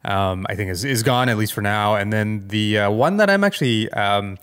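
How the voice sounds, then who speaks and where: Lower-voiced man chatting haltingly. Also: animated, fairly bright tone, smooth. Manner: halting, thinking aloud, conversational. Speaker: podcast co-host. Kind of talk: casual tech news chat.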